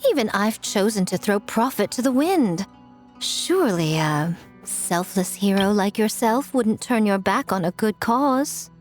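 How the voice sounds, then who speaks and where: Woman acting a sly, lively, teasing fox-spirit lady. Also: mid-range voice, measured pace, soft background music, lightly acted. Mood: coaxing, teasing. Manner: coy, smug, playful, polished. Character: sly, teasing, elegant female fox-spirit shrine maiden. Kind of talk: video game voice acting.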